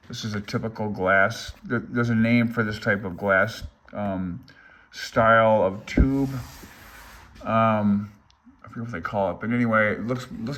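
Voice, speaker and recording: A man's voice: on the low side, fairly bright tone. An older man gives a casual, unscripted read-along explanation as a hobbyist presenter, rambling, thinking aloud and openly unsure of himself. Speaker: amateur radio hobbyist presenting a handbook.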